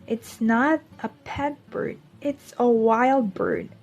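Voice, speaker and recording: A woman voicing a caring, sensible mother mole in a children's storybook, in a measured, instructive manner. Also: a little high, steady tone, a little mellow, dull audio. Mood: matter-of-fact.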